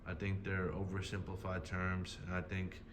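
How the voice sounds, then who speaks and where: A man commenting casually on a video he is reacting to, talking in a hesitant, halting way with many fillers and restarts. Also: deep voice, monotone, noisy background. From United States.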